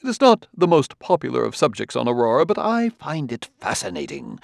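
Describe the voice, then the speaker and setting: A man voicing a courtly, talkative male roboticist with a smooth, falsely friendly manner in an audiobook. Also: fairly high pitch, no echo, clean studio sound, pitched above the performer's own voice. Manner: courtly, talkative, softly running sentences. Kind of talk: audiobook character dialogue.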